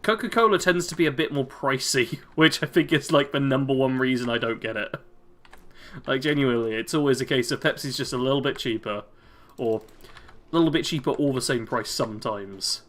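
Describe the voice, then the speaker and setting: A man's voice: medium pitch, a little fast, fairly bright tone. A man with a British accent, streaming a video game and chatting casually with his chat, chuckling as he reads comments aloud.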